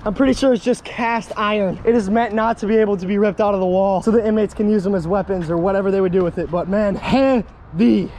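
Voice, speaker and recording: An excitable young man with a high, bright-toned voice chats breathlessly on an action camera. He exclaims at each find and laughs often. Steady outdoor noise runs behind him. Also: fast talker, hardly any pauses. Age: young adult. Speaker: magnet fishing vlogger. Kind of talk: excited outdoor vlog chat. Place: outdoors by a pond at an abandoned building, then inside it.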